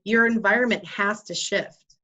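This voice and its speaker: A woman's voice: mid-range voice, fairly even intonation, moderate pace, bright, forceful tone. A woman hosting a wellness podcast and explaining energy healing in an earnest, conversational way. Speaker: podcast host and Reiki practitioner. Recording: dull lo-fi sound.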